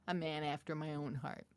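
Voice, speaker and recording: Slow, soft-spoken woman telling a testimony. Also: low-pitched, dry recording. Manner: soft, intimate storytelling with laughs. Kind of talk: testimony sermon.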